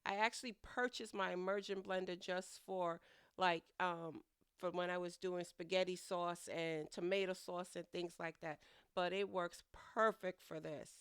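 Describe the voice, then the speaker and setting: A middle-aged woman talks through her kitchen prep in a loose, chatty ramble, often laughing at herself. Her voice sits a little low and comes out smooth despite a stuffy, nasal head cold. The sound is close and dry. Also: steady tone, moderate pace, no room sound. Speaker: home cooking vlogger. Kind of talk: casual cooking narration. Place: home kitchen.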